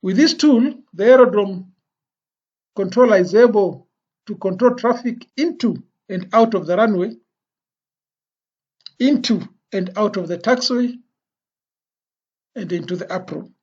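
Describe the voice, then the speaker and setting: An instructor giving an explanatory lecture in a deliberate, phrase-by-phrase way with pauses. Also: medium pitch, darkish tone, slightly rough. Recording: dull, lo-fi voice recording.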